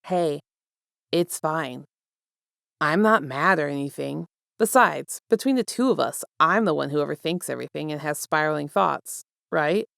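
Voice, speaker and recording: A woman voicing a gentle young man in a fan fiction reading, speaking softly and carefully with light teasing reassurance. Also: low-pitched, lively intonation, moderate pace, gravelly, dry recording, clean studio sound, exaggerated voice. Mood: reassuring. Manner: soft, careful, quiet speech.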